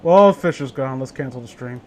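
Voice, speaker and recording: A man's voice: mid-range voice, normal speed, darkish tone, a little hiss or hum, poor audio. A man hosting a casual read-along with friends, joking in a crude, teasing way. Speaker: host reading horror stories aloud with friends.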